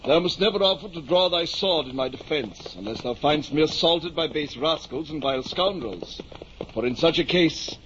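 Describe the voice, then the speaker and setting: Through a dull, faintly noisy old radio recording comes a middle-aged gentleman who believes himself a knight. His voice sits a little high. He declaims archaic phrases with pompous grandeur, in the style of 1950s radio drama. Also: animated, moderate pace. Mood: lofty, instructing. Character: deluded, grandiose gentleman knight nearing fifty.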